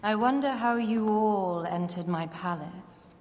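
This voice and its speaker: A woman's voice: medium pitch, unhurried, a little mellow, long reverb tail, close to an everyday voice. Woman as a cool ambitious queen, poised, faint noise behind.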